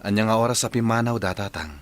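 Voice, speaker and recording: A man's voice: fairly low pitch, quick pace, natural voice. A man acting an earnest, caring young husband in an Ilocano radio drama. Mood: subdued.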